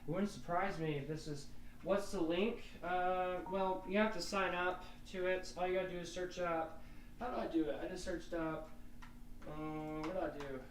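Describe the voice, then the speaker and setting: A young man rambles casually through live weather commentary, his voice sitting a little high. He sounds a bit distant from the mic in a roomy, echoing space. A faint hum runs underneath. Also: steady tone, normal speed. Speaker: weather forecaster.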